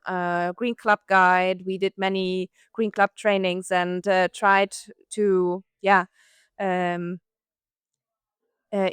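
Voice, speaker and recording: A woman speaking on a panel, halting and searching for her words. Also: medium pitch, fairly bright tone, clear, resonant tone, no echo, clean studio sound. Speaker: sustainability advocate, panel guest. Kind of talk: panel discussion.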